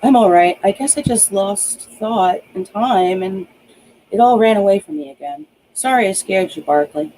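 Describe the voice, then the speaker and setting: A woman's voice: mid-range voice, expressive, moderate pace, vocal fry, dark tone, low-quality recording. A woman voicing a flustered, apologetic female doctor in an audiobook.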